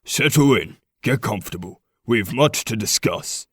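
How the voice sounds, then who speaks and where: A man's voice: lowish voice, expressive, measured pace, a bit bright, a little hiss or hum. A man playing a troubled, determined pack leader in a fantasy audio drama, speaking formally and earnestly with a commanding manner. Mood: resolute.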